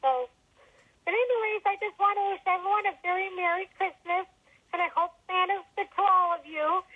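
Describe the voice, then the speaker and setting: A woman playing a tiny magical boy Christmas elf who chats cheerfully and rambles through stories in a small, high voice. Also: a little fast. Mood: cheerful, chatty. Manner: chatty, rambling storytelling. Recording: dull, tinny radio-like sound.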